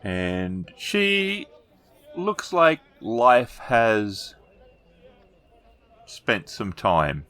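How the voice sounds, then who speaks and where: A man narrating a tabletop game as game master, improvising descriptions in halting phrases. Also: lowish voice, huge pitch swings, fairly bright tone.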